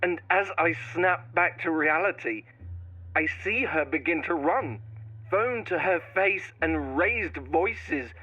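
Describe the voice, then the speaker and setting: A man acts out a brooding, menacing writer narrating his own horror tale. The delivery is slow and deliberate with dramatic pauses, in a fairly high, tense, bright voice. The recording is slightly dull and narrow. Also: dry recording, heavily acted. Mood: haunted. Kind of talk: first-person scripted horror monologue.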